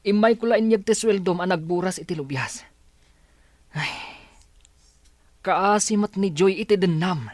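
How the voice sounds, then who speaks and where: A man playing an anxious, placating village neighbour in a radio drama, excitable and pleading. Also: high voice, a little slow, long pauses, dry recording.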